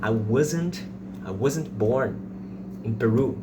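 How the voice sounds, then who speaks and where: A man's voice: medium pitch, lively intonation, extremely slow. Casting: Brazilian man, thirties, didactic tutor who repeats examples, recorded away from the mic in heavy noise. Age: 30s. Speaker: English teacher. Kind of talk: informal explanatory language lesson with slow example sentences. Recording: distant mic, loud background noise.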